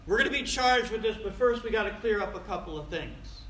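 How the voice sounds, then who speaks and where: A man's voice: sits high, animated, fairly quick, fairly bright tone. A man in his sixties preaching a sermon in a folksy, conversational way, asking rhetorical questions and repeating phrases for emphasis. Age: 60s. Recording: echoey room, faint background noise.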